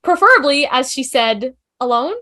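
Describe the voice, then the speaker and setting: A nervous, wisecracking young woman, played by a woman at an amateur table read. She rambles eagerly in a high voice. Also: lively intonation, moderate pace, pushed and bright.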